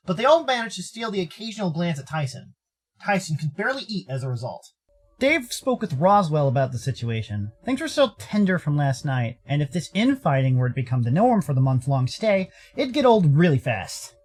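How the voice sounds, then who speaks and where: A man's voice: on the high side, husky. An American man giving playful scripted narration of a story in a theatrical, tongue-in-cheek manner. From United States. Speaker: narrator and streamer.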